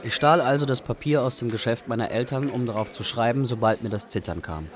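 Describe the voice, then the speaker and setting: A man's voice: medium pitch, a little fast, close to an everyday voice. An actor playing a wry Iraqi refugee who tells of his youth in matter-of-fact radio drama narration. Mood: wry, reflective.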